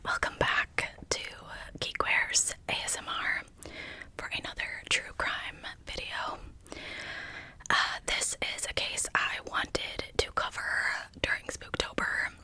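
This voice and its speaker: A woman hosting an ASMR channel, narrating in a breathy, intimate whisper with casual asides. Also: takes it slowly, sharp tone. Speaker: host of a true crime ASMR channel.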